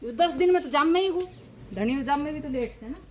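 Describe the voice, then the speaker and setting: A woman's voice: high-pitched, animated, brisk. Middle aged haryanvi lady chatting on a home vlog, bit bossy instructions, phone mic, slight bg noise. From rural Haryana, India. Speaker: family vlogger, mother. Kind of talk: casual home vlog chat. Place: village house and open courtyard. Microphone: handheld phone.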